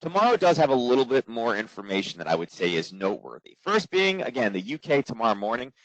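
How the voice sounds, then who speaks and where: Hoarse, fast-talking male trading instructor. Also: medium pitch, very animated, no echo. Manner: quick, filler-heavy, conversational. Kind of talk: live webinar presentation. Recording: dull, dry lo-fi webinar audio.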